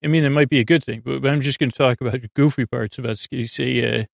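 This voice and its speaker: A man hosting a sleep podcast, rambling in a meandering, stammering monologue with frequent digressions and a gravelly, creaky voice. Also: medium pitch, fairly even intonation, fast talker, no echo, studio quality. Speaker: podcast host.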